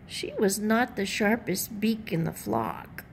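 A woman reading a children's picture book aloud in an animated, deliberate storytelling manner. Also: mid-range voice, creaky, a little hiss or hum. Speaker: storybook reader.

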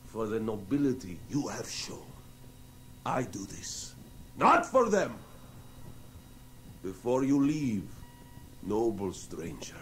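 An actor playing a stern, proud Inca high priest in a radio drama, grand and solemn in manner. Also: male voice, slight background noise.

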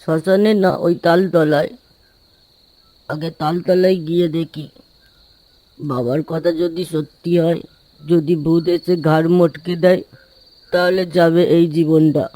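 Man as lazy, headstrong village son for cartoon: youthful, highish, mellow tone. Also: fairly even intonation, a little slow, long pauses, lightly acted, rough recording. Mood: stubborn, musing.

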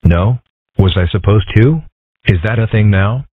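An earnest older cartoon townsman, played by a man, talks politely and with reserve. The voice is low and husky. It is recorded on a dull lo-fi microphone. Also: measured pace, dry recording. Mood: polite, concerned. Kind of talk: comic dub character acting.

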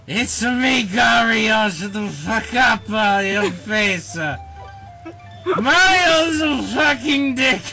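Man putting on a crude mock-Italian plumber, swearing, lively swoops, very slow drawl, music behind. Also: very high voice, creaky, exaggerated voice. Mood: crude boasting. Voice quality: mumbling sleep-talk, crude and shouty.

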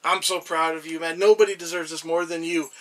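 A man voicing a small, devoted male shrine god who talks in an anxious, excitable, affectionate way. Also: a little high, brisk, close to an everyday voice. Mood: excited.

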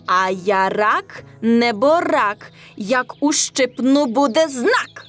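A woman voicing a small, stubborn male crayfish who speaks deliberately in a children's folk tale. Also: a little high, animated, faint music behind. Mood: determined.

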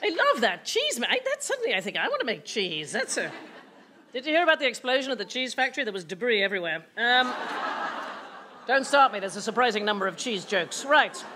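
An older woman hosting an awards lunch from a lectern in a large hall, playful and quick to joke. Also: a little high, very animated, sharp tone, a little hiss or hum. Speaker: awards host. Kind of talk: lively event hosting with jokes. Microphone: lectern microphone. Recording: hall with crowd chatter.

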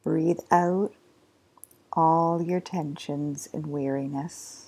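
Slow, soft-spoken woman guiding meditation. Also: sits low, steady tone, gravelly, slightly rough, low-quality recording. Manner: slow, soft instructions with drawn-out pauses. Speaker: yoga nidra teacher. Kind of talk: guided meditation.

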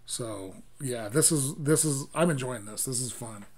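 A man's voice: medium pitch, a little slow. A middle-aged man hosting a music reaction from home, talking casually and enthusiastically with lots of ums and restarts. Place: home room with Halloween decor and lights.

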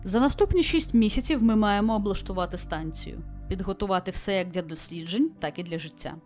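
A woman voicing a composed female starship captain who reads a mission log in a formal, measured way, as scripted visual novel narration. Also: medium pitch, darkish tone, faint music behind, lightly acted. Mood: grave, reporting.

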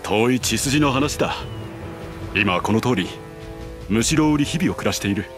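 An actor playing a noble, humble young warrior in a video game, speaking earnestly and formally. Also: male voice, medium pitch, animated, normal speed, over music, natural voice. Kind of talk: video game cutscene voice acting.

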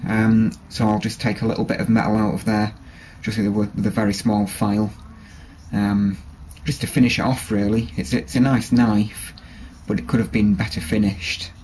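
A man casually showing a small knife in his workshop, relaxed and hesitant, with many ums and restarts, recorded on the camera's mic. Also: on the low side, moderate pace, slight background noise, poor audio. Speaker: bushcraft hobbyist showing a knife. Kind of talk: casual show-and-tell. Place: workshop with a grinder and workbench.

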